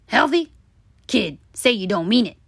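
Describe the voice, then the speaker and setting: A woman voicing a loud, greedy, melodramatic talking sweet tooth that whines, pleads and scolds in a children's storybook. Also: a little high, big pitch swings, measured pace, vocal fry, husky, no echo, heavily acted. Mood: wailing. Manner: whiny pleading and bossy scolding.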